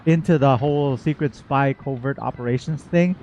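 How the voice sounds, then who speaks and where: A man's voice: mid-range voice, moderate pace, darkish tone, slight background noise. A man giving casual, playful gaming commentary with wry asides as he plays. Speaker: gaming streamer reacting to a story quest. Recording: slightly dull, faint game music.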